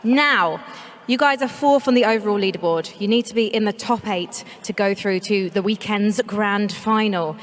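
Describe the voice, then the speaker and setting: A woman's voice: mid-range voice, moderate pace, slight background noise. A woman working as an esports on-site interviewer, bubbly and teasing with the players. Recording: echoey arena hall.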